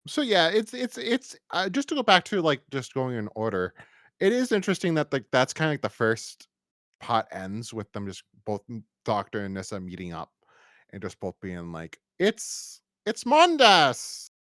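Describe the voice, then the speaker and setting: A man hosting a podcast in a casual review chat, rambling and halting with repeated false starts. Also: medium pitch, wildly swooping pitch, fairly bright tone, no room sound, studio quality. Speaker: podcast host.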